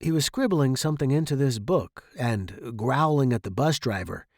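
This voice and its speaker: A man's voice: mid-range voice, expressive, normal speed, a little mellow, no room sound, clean studio sound. A man reading a first-person short story dramatically, as its narrator.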